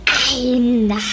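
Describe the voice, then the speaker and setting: A woman playing a sharp-tongued, scolding neighbour in a comedic radio drama, teasing and exclaiming with an Ilocano accent. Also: high voice, background noise.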